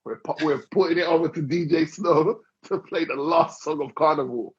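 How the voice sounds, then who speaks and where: A man's voice: on the high side, normal speed, breathy edge. A man hosting an interview, teasing his guest and asking long, rambling questions in a casual chat. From Dominica. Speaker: interview host. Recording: dull lo-fi recording.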